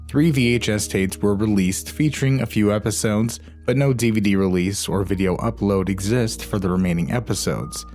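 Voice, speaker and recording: A man's voice: lowish voice, a little mellow. A man giving scripted narration for a countdown video.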